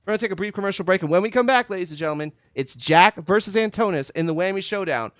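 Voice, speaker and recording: Brief: male game show host, congested, showy patter, smooth clear voice, dry close sound. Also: high voice, animated, racing, no room sound. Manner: showy announcer patter, calls out totals and ladies and gentlemen. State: congested from a summer cold. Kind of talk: hosting an online game show.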